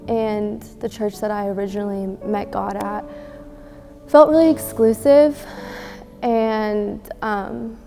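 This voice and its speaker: A young woman from Oklahoma giving an earnest personal testimony, often pausing on fillers. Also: mid-range voice, dark tone, long reverb tail. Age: young adult.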